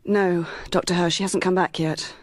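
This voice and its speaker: A woman's voice: on the low side, fairly even intonation, normal speed, no room sound, natural voice. A woman playing an anxious, helpless woman in a radio drama. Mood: worried.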